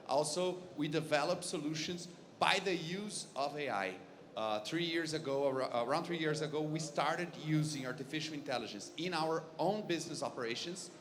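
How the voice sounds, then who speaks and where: A man's voice: fairly high pitch, normal speed, a bit bright. A man with a Brazilian accent speaking professionally as a panelist at a conference. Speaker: panelist, marketing company owner. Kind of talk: professional self-introduction on a panel. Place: stage at a conference. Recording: audible crowd in event hall.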